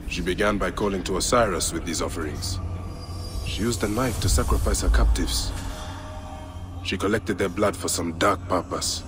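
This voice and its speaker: An actor playing a stern, grim warrior hunting a killer, low, measured and grave. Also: male voice, music in the background, close to an everyday voice. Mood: investigating.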